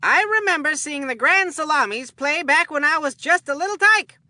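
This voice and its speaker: A woman voicing an excitable, food-loving boy baseball commentator in a cartoon game, with a folksy, earnest showman delivery. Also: high voice, moderate pace, dry recording, exaggerated voice.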